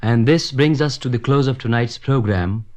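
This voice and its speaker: A man reading a formal, measured, scripted station announcement on 1960s shortwave radio. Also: medium pitch, a little mellow. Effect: shortwave radio broadcast.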